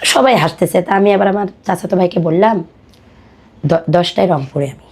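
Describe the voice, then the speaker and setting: A woman with a Bangladeshi accent telling her personal life story as a podcast guest, in colloquial, halting speech. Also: on the low side, a little mellow, breathy edge.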